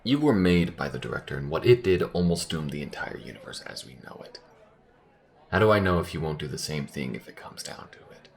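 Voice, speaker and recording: A man playing a wary, protective alien crewmate in an audio roleplay, speaking in a halting, guarded, sardonic way. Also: very deep voice, lively intonation, creaky, exaggerated voice. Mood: suspicious. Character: wary, protective male alien crewmate, gruff but caring.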